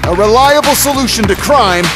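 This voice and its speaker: High-voiced arrogant corporate executive. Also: male voice, expressive, fairly quick, music in the background, a crowd in the background. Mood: proud, showy. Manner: pompous salesman's presentation. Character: arrogant, slick corporate tech executive, adult male. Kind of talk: video game cutscene acting.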